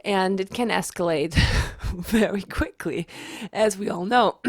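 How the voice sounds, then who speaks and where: A woman who is a little ill and sounds raspy, giving a chatty explanatory vlog with frequent restarts. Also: mid-range voice, slow, no echo, studio quality. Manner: chatty, repeats herself with restarts. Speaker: manifestation vlogger.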